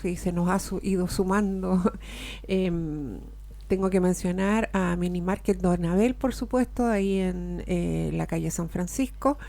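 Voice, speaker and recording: A woman's voice: lowish voice, a little slow, creaky. A woman with a Chilean accent hosting a casual radio talk show in a studio, chatting and reading sponsor spots. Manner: chatty, warm asides, occasional laughs and filler "eh".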